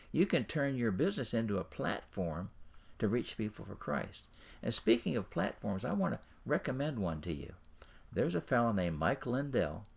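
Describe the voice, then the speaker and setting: Guy coaching straight to the listener, creaky voice, pitch moves around a lot, says stuff twice. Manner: repeats phrases, speaks directly to the listener. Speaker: ministry and business coach. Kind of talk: informal instructional talk to viewers.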